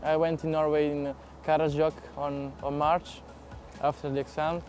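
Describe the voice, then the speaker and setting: A man's voice: fairly high pitch, flat delivery, normal speed, a little mellow, faint music behind. A young man with an Italian accent, speaking enthusiastic broken English in a documentary interview outdoors. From Italy. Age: young adult. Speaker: exchange student. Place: outdoors in a city square.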